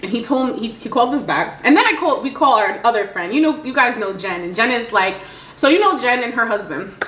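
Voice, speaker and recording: A woman vlogger telling stories in a casual, slangy, animated way, often acting out what people said in dialogue. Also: a little high, a little fast, slight background noise. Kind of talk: casual storytime chat. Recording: roomy echo.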